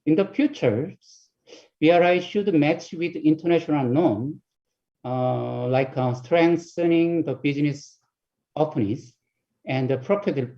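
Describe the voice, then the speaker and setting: A man's voice: a little high, lo-fi sound. Korean-accented man reading a summary. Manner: halting, non-native phrasing. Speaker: conference panelist.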